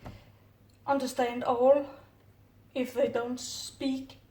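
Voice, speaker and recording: Woman, Danish accent, middle-aged, high flat voice, slow and halting, distant roomy recording with faint hiss. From Denmark. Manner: hesitant, many "uh" fillers, unhurried. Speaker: orchid grower. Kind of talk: casual hands-on narration. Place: home kitchen counter, indoors. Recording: roomy distant camera audio, faint noise.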